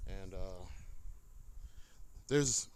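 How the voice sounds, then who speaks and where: A man's voice: deep voice, very slow. An American man giving a casual, unscripted gear walkthrough as a hobby fisherman, rambling in a folksy, informal way into a tablet. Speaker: hobby kayak fisherman showing his gear. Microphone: handheld tablet, moving nearer and farther. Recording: noisy tablet audio, distance from mic shifting.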